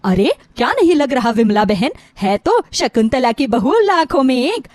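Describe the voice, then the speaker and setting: A woman's voice: high-pitched, very animated, moderate pace, a little mellow, no room sound, clean studio sound. A woman voicing mocking middle-aged neighbour gossips in a story, taunting with false sweetness. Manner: taunting, mock-sweet, exclaiming.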